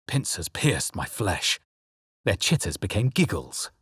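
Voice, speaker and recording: A guarded, observant fantasy game hero, with a low, slightly husky voice. He speaks in measured, dramatic phrases with crisp enunciation and a dry, close studio sound. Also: big pitch swings, a bit bright, no room sound, exaggerated voice. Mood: solemn narration. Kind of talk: scripted game voice lines and narration.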